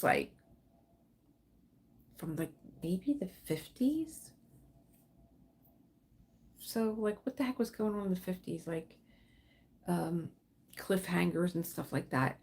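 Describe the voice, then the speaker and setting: Woman livestream host, low gravelly voice, slow rambling chat, faint hiss behind. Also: a little mellow. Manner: rambling, chatty, laughs often, reads and answers viewer comments. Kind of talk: casual livestream chat while diamond painting.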